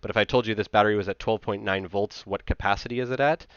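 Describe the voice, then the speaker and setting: Male presenter, 30s. Low voice, close dry sound, conversational technical explainer. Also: steady tone, fast, smooth, dry recording, dull audio. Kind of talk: informal technical slide presentation.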